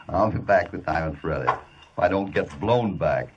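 A calm American cowboy hero in a vintage radio western. His voice is deep, his manner easygoing and sure. He sounds slightly away from the mic, with light noise on the old recording. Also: measured pace, recorded from a distance, lo-fi sound. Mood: amused. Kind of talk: 1950s western radio acting.

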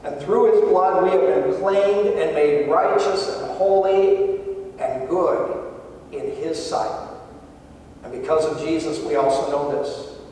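A man's voice: a little high, slow pace, warm tone, a little hiss or hum. A middle-aged man preaching a sermon from a church pulpit, earnest and emphatic. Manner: earnest, emphatic preaching with rising and falling intensity. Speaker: pastor. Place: large church sanctuary, at a pulpit. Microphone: far camera, pulpit mic. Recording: echoey church hall from far off.

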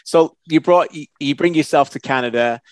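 A man's voice: on the high side, moderate pace, no room sound. A middle-aged man with an English accent, interviewing a footballer over a video call. He sounds friendly and encouraging, and often trips over repeated words. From England. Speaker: interviewer, host. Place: home study with bookshelves. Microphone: headset mic. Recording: dry, close headset sound.

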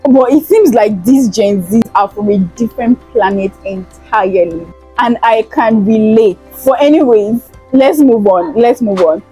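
A woman's voice: fairly high pitch, unhurried, dark tone, breathy edge, soft background music, poor audio. A young woman, hosting a chatty gossip vlog in a playful, teasing way on a lapel mic in an indoor studio. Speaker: host. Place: indoor studio, plain backdrop.

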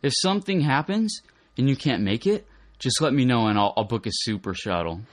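A man's voice: mid-range voice, lively intonation, a little fast, dry recording, natural voice. A man playing a cautious air traveller in a scripted lesson dialogue. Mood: careful.

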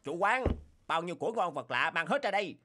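A man voicing the male characters in a dubbed cartoon folk tale, with animated, emotional delivery. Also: a little high, fast. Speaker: voice actor doing all male parts. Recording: music bed under voice.

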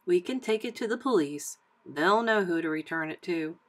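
Lady doing a storybook dad dog, kind and principled, gentle but firm. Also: lowish voice, animated, moderate pace. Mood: calm, firm.

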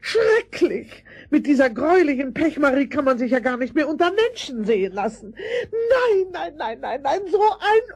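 An extremely high, wailing middle-aged aunt from a vintage children's radio play. She is horrified and hysterical, swooping wildly in a dry, close sound. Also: very animated, normal speed, a little mellow, dry recording. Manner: wailing, repeating herself.

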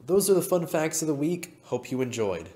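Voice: medium pitch, big pitch swings, moderate pace, dark tone, a little hiss or hum. A presenter reading a light, wry fun facts segment as scripted voice-over.

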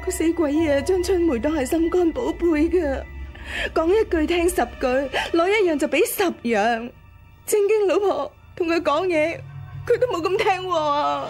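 An actress with a Hong Kong Cantonese accent playing an aggrieved, high-strung young widow in a radio drama, plaintive and tearful. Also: female voice, measured pace, a little mellow, soft background music. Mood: bitter, indignant.

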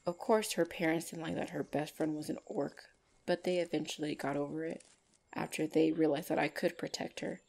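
A woman playing a gentle, shy orc lover in an ASMR roleplay, speaking softly and hesitantly with tender pauses. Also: sits low, steady tone, vocal fry. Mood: wistful, tender.

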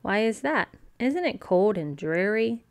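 A woman's voice: mid-range voice, sing-song, measured pace. Woman voicing a mild, curious little boy bear. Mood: puzzled.

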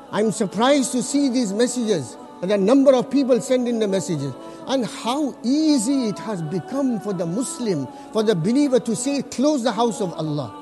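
High-voiced imam preaching earnestly. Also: male voice. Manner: earnest preaching, Arabic quotations recited quickly, repeats phrases for emphasis. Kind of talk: Friday sermon.

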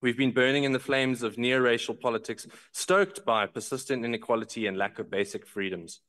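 A man reads a prepared speech in a measured, formal way. He speaks into a desk microphone in a large parliamentary chamber. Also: mid-range voice, a bit bright. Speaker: member of parliament giving a speech.

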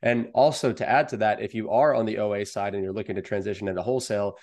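A young American man with a fairly low voice. He speaks as a guest seller, laying out advice step by step in an explanatory interview style, recorded over a video call from an indoor room. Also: fairly even intonation, quick pace, darkish tone. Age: young adult. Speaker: guest, online wholesale seller.

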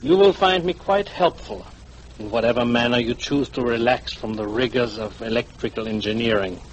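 An actor playing a fat, weary, self-styled guide in a 1940s radio drama, wordy and ingratiatingly polite. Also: male voice, mid-range voice, normal speed, lo-fi sound, natural voice. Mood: unctuous, eager. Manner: florid, wordy, over-polite. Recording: noisy vintage 1940s radio recording.

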